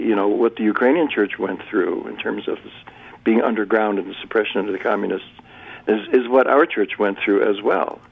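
A man, a bishop and radio guest, speaking in a reflective, halting way with frequent restarts. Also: on the low side, vocal fry, dry recording. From United States. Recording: tinny phone-line sound.

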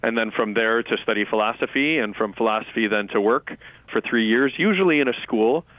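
A Jesuit priest speaking in a radio interview as a guest, reflective and measured, with fillers and restarts. His first language is Lithuanian. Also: medium pitch, dry recording. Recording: phone-like narrow-band radio audio.

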